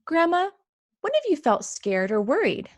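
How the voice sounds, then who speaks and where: An earnest little mouse girl from a children's storybook, voiced by a woman. She speaks in a raised pitch and puts things simply, with sincere care for those around her. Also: big pitch swings, moderate pace, no echo. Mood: eager, curious. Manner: sincere, explaining simply to family. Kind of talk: children's storybook read-aloud.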